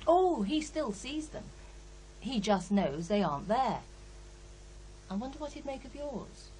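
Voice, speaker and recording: A woman's voice: a little high, very animated, measured pace, a little mellow, a little hiss or hum, low-quality recording. A woman in a radio play acting a wry, self-assured psychiatrist who talks in a knowing, teasing way. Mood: wry, reflective.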